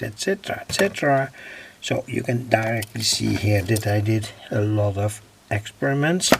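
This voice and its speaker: Slow, gravelly man explaining radio coils. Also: a little high. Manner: slow and halting, many "uh" pauses. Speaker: radio hobbyist presenting his own channel. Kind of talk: unscripted hands-on demonstration.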